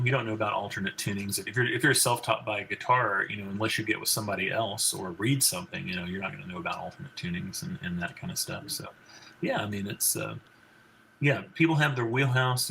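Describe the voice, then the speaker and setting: A man in his forties with a baritone voice, a physician and country singer talking as an interview guest, rambling with many fillers and restarts. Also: creaky, sharp tone, a little hiss or hum, rough recording. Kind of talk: casual interview conversation.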